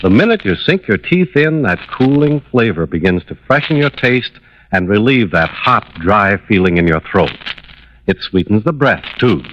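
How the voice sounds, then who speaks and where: A man's voice: lowish voice, very animated, normal speed. A man announcing on 1950s radio, delivering sponsor copy and credits with polished, emphatic salesmanship. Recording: dull, slightly noisy old radio recording.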